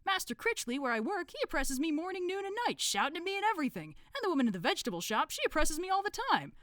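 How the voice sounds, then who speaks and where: Put-upon simple doorkeeper, acted by a woman, vehement and complaining, pitched up for a storybook. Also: big pitch swings, fast talker, fairly bright tone, no echo, studio quality. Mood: aggrieved. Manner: complaining, colloquial. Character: vehement, aggrieved, simple-minded male doorkeeper of secret brotherhood.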